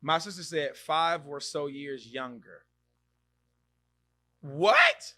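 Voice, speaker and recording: A podcast host, a man in his mid-thirties, talks casually with a bright, forceful voice pitched a little high. He reacts with loud exclamations, repeats himself and thinks aloud while reading viewer comments. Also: huge pitch swings, takes it slowly. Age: 30s. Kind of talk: casual live-stream chat reading comments.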